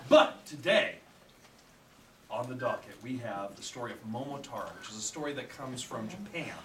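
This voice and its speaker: A man telling a story live to children as a puppet show host, in an animated way, prompting them to join in. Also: mid-range voice. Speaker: puppet theater storyteller and director. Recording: echoey room, distant mic, faint noise.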